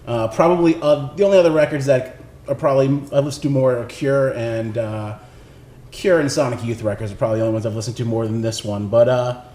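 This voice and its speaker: Halting man in a roomy, echoey space. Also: mid-range voice, steady tone, warm tone. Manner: halting, rambling, laid-back phrasing. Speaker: recording engineer presenting record picks. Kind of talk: casual unscripted chat. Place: indoors in a record shop. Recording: roomy echo, faint background noise.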